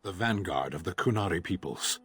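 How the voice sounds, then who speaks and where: A huge, fatalistic warrior in a fantasy video game. His voice is very low and a little rough, and he speaks in grave, terse, formal sentences over faint music. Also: expressive, brisk, fairly bright tone, exaggerated voice. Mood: grave, resigned. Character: stoic, proud caged giant warrior, fatalistic.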